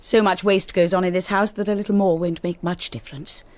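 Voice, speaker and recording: An actress playing a well-spoken young English wife in a radio drama, with an emotive delivery. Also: female voice, fairly low pitch, steady tone, a little fast, lightly acted. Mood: firm, imperious. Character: young English wife asserting herself as mistress of house.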